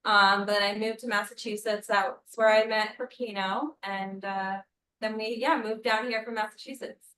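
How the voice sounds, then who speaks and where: A woman's voice: medium pitch, steady tone, moderate pace, bright, forceful tone, echoey room, a little hiss or hum. A woman from New Hampshire, a personal trainer and gym co-owner, explaining earnestly. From New Hampshire, United States. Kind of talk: casual interview talk.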